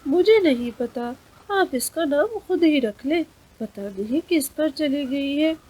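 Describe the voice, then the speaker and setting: Excitable storybook mother hen, female performer, fussy, high register, slow measured delivery. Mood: sulky, dismissive. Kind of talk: cartoon storybook voice.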